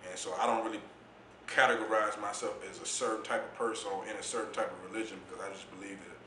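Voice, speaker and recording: A man with a deep voice, speaking as an interview guest in a laid-back, reflective way about his poetry and youth mentoring. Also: flat delivery, fast talker, bright, forceful tone, echoey room, slight background noise. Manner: laid-back, reflective, says "you know what I'm saying" often. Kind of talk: casual interview talk.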